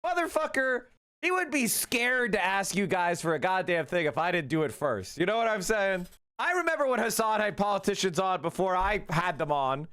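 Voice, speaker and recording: A man hosting a political livestream, talking excitedly and profanely in a rambling, casual way. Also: high voice, moderate pace, clear, resonant tone.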